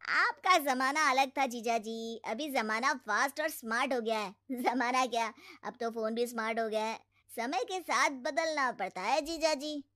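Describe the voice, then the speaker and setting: A woman puts on a cocky twenty-year-old young man for an animated moral tale. He talks like a breezy know-it-all, in a high voice, recorded dry. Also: measured pace, no room sound. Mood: smug, breezy. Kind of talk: animated moral story character acting.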